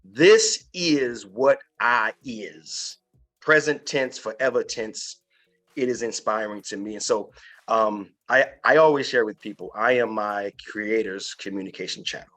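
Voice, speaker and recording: A man's voice: lowish voice, a little slow, a bit bright. A man hosting an inspirational podcast interview, speaking earnestly and emphatically and repeating phrases for effect. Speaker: podcast host.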